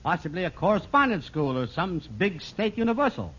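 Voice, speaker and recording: An actor playing a mild, fussy, henpecked husband with a prim, earnest manner in an old radio sitcom. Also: male voice, sits high, sing-song, brisk, a bit bright. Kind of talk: mid-century radio sitcom acting. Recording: muffled, noisy vintage radio recording.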